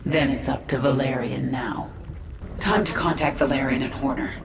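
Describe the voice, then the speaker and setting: A woman's voice: extremely low pitch, steady tone, normal speed, noisy background. A woman playing a grim, commanding warrior queen in a video game, speaking in a curt, controlled, imperious way. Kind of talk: video game cutscene acting. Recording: distant, echoing, music behind.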